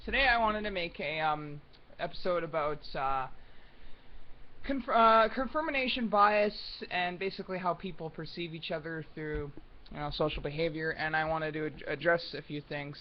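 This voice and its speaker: High-voiced young man, muffled recording. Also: normal speed, slight background noise. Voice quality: high, soft voice. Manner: rambling, many ums and restarts. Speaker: vlogger. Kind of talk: unscripted casual monologue. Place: room at home.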